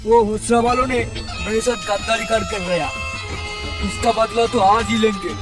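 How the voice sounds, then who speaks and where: A man's voice: sits high, normal speed, over music, close to an everyday voice. A man voicing a rowdy, crude cartoon troublemaker, in melodramatic comedy dialogue. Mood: menacing. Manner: brash, swearing, melodramatic.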